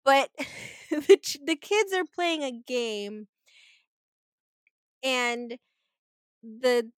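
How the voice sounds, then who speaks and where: A woman co-hosting a casual movie review chat, animated, laughing often and restarting her phrases. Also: high voice, drawn-out words, smooth, no room sound. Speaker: podcast co-host.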